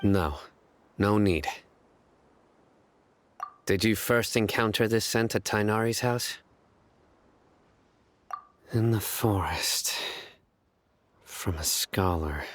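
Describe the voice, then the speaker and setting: An actor voicing a stern, composed investigator in an anime video game, terse, measured and deadpan. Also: male voice, fairly low pitch, slight background noise. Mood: guarded.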